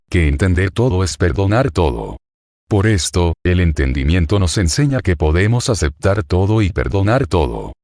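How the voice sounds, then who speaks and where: Man, Argentine accent, very low voice, solemn, self-assured, dry recording. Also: darkish tone, no echo. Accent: Argentine Spanish. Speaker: psychoanalyst. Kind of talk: scripted self-interview monologue.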